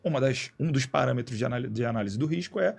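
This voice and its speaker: A man being interviewed as a guest in a radio studio, explaining in a relaxed, slangy way with many restarts. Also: mid-range voice, fast talker, vocal fry, breathy edge. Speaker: guest, executive director of an institute.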